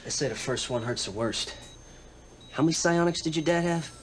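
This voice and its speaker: An actor playing a laid-back, cocky fellow soldier in a game cutscene, talking in a slangy, teasing way. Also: male voice, a little high, moderate pace, slight background noise, natural voice. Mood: friendly, teasing. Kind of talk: casual video game cutscene acting.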